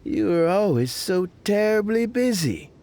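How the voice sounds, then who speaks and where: An actor playing a kindly, familiar old man with a slow, drawn-out manner in a game. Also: high voice, sing-song, mellow. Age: older.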